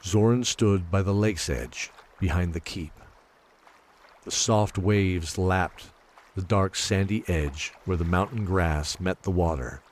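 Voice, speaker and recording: A man reading scripted fantasy narration in a measured, solemn storyteller's manner. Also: low-pitched, a little hiss or hum.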